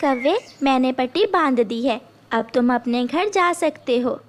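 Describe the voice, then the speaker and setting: A woman's voice: sits high, animated, a little slow. A woman voicing a kind, trusting mother sparrow in a cartoon story, caring and practical. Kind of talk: animated children's story voice acting.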